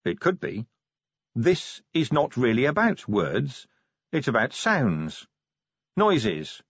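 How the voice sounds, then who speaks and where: A man's voice: mid-range voice, wildly swooping pitch, slow pace, slightly rough, no echo, dull audio, heavily acted. A man voicing a shrewd city watch commander in an audiobook, wry and matter-of-fact. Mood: thoughtful.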